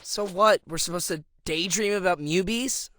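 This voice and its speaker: A grumpy, impatient turtle teen, very high-pitched with a forceful edge. The delivery is blunt and sarcastic, in animated game style. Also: male voice, moderate pace, no echo, studio quality. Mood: skeptical. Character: grumpy, impatient teenage mutant turtle boy.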